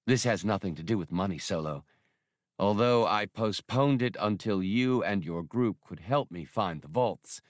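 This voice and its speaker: A man's voice: on the low side, animated, normal speed, no echo, studio quality, natural voice. A man reading an audiobook, voicing a condescending gunman villain with formal, menacing courtesy. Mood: cold disdain. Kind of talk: audiobook character dialogue.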